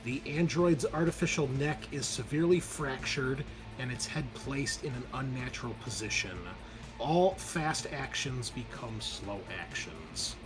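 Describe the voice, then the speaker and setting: A man's voice: mid-range voice, measured pace, music in the background. A man running a tabletop horror roleplaying game as game master, talking conversationally and working through rules with fillers.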